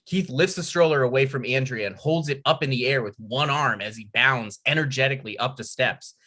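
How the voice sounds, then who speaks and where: A man's voice: mid-range voice, brisk, sharp tone. A man narrating a script's stage directions at a table read.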